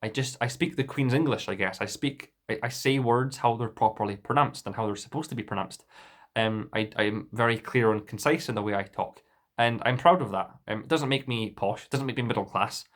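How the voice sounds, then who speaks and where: Young scottish guy, soft dundee accent, mid pitch, defensive rant with lots of restarts. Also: brisk. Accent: Dundee Scottish, mild. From Scotland. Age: young adult. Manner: indignant and defensive, with frequent restarts. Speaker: vlogger. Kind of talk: casual monologue to camera.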